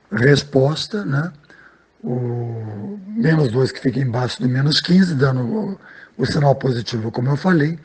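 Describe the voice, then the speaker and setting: A man talks through a math lesson at a steady, patient pace. His voice is very husky and raspy, sitting at an ordinary middle pitch. He keeps checking in with short little questions as he explains each step. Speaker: math teacher.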